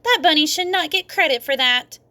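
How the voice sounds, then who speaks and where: A woman's voice: high voice, a little fast, bright, forceful tone, voice pushed up. A woman voicing a bossy, boastful little schoolgirl in a storybook, taunting with emphatic repetition.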